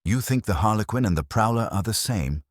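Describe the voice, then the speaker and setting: A man voicing a brisk, wry male detective's assistant in an audiobook, with a clipped manner. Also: on the low side, expressive, dry recording, studio quality, pitched above the performer's own voice.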